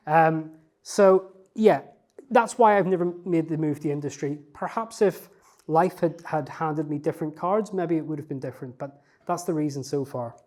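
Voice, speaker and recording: A man's voice: fairly high pitch, darkish tone. A man, a researcher, answering interview questions in a reflective, self-effacing way, with frequent fillers and restarts. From not from Newcastle.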